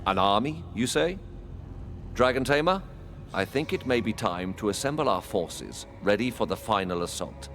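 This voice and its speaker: A man's voice: lowish voice, lively intonation, moderate pace, a bit bright, a little hiss or hum. A man playing a grave, resolute war leader in a fantasy game, giving orders with solemn authority. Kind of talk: dramatic fantasy game cutscene acting.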